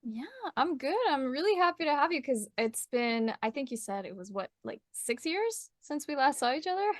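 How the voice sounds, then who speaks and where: A woman's voice: high voice, expressive, normal speed, no echo, studio quality. A young adult woman hosting a podcast interview, chatty and bubbly, laughing often. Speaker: podcast host. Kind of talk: casual interview chat.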